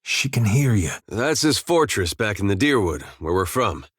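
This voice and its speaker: A man voicing an easygoing farmer turned adventurer in a video game, with a folksy, drawling, deadpan humour. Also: lowish voice, normal speed, breathy edge, dry recording, clean studio sound, heavily acted. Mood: wry, easygoing. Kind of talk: video game companion dialogue and barks.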